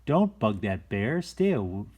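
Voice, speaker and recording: A man hosting a children's story time, reading aloud in a playful, expressive way with chatty, folksy asides and shifts between hushed and exclaimed delivery. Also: on the low side, slow, husky. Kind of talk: animated read-aloud with casual asides to young viewers.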